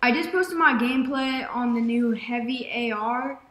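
A young woman giving casual gameplay commentary, rambling with many fillers, her voice slightly raspy from dehydration. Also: on the high side, normal speed, roomy sound. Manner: rambling, filler-heavy, excitable. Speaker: gaming YouTuber.

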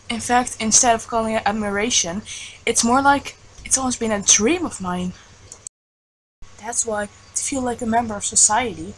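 A woman's voice: on the high side, lightly acted, low-quality recording. A woman voicing an ordinary teenage boy in a game fan dub, narrating sincerely and reflectively. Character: earnest, ordinary teenage boy, self-conscious. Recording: faint background noise.

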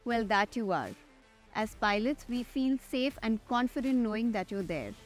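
Young female pilot-host, Indian accent, slightly high voice, scripted delivery over music. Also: animated, moderate pace. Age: young adult. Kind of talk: scripted interview hosting and presenting. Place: large glass-walled airport tower room. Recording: music under the voice. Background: background music.

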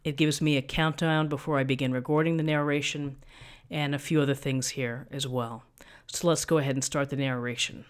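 Middle-aged woman, thin voice, calm step-by-step explaining, desk mic, tutorial host. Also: a bass voice, fairly quick. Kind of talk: screen-recorded software tutorial. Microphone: USB desk microphone.

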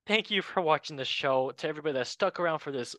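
A man hosts a casual football chat show with a bright, forward voice. He is a non-native English speaker who talks in a jokey, excitable manner. The sound is dry, with no room on it. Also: a little high, quick pace, no echo. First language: not English. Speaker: show host.

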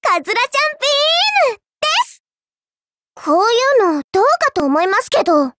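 A woman voicing a childlike, polite but quietly spiteful girl in a game, with a cutely teasing manner. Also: extremely high pitch, very animated, moderate pace, very noisy. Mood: playful. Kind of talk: Japanese game character voice lines.

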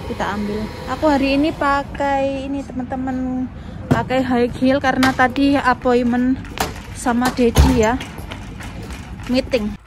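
A woman's voice: sits high, background noise. A woman, chatting casually to viewers as she vlogs a shopping trip. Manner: chatty, addressing viewers as friends. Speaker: family vlogger. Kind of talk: casual shopping vlog chat. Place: outdoors by roads and car parks, then inside a supermarket. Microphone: handheld phone or camera at arm's length.

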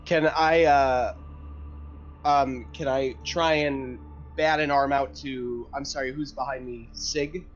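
A man's voice: medium pitch, fairly even intonation, slow pace. A man playing a tabletop game, talking casually and tentatively as he thinks aloud, on slightly lo-fi audio.

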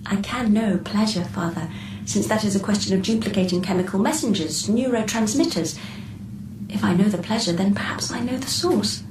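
A woman's voice: mid-range voice, moderate pace, lightly acted. A woman playing a poised, enigmatic artificial intelligence in a radio drama, with formal and precise phrasing. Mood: cool, enigmatic. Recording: echoey, distant voice with background noise.

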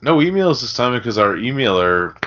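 A man's voice: medium pitch, sing-song, fairly quick, fairly bright tone, slight background noise. A man hosting a casual podcast, addressing guests and listeners in an informal, chummy way. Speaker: podcast host. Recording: muffled, lo-fi podcast audio.

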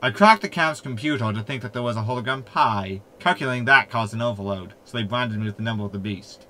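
Man playing a sharp, pompous, sardonic hologram. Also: medium pitch, quick pace, natural voice. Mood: smug. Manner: pompous, wordy, sarcastic. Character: sardonic, know-it-all male hologram, proud and mischievous. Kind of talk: sitcom audio drama acting.

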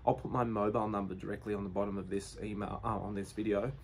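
A man's voice: on the low side, little pitch movement, fast talker, slight background noise. A man in his forties with an Australian accent, giving a plain, reassuring update to camera from outside his house, talking loosely with fillers and restarts. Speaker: real estate agency owner. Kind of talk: direct-to-camera business update. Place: outdoors beside a brick house. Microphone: phone or camera at close range.

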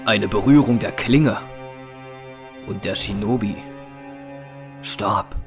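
A man narrating a scripted dramatic story in a measured, solemn way. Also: fairly low pitch. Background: music.

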